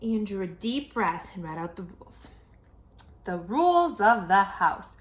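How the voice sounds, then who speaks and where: A woman's voice: mid-range voice, very animated. Woman reading a picture book expressively. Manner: dramatic emphasis and pauses. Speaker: children's storybook reader.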